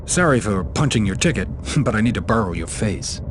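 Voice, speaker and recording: An actor playing a cool, confident, wisecracking secret agent hero in a video game. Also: male voice, on the low side, animated, brisk, loud music behind the voice, lightly acted. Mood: wry.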